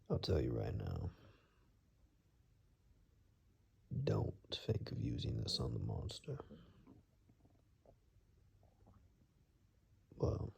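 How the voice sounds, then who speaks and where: Man acting a very deep, gravelly woodsman guide. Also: darkish tone, full character voice. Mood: cautious, frank. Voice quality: husky, gravelly, breathy near-whisper. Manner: halting, understated, matter-of-fact. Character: wary, blunt male woodsman guide who knows monsters. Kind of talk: ASMR roleplay, hushed monologue to the listener.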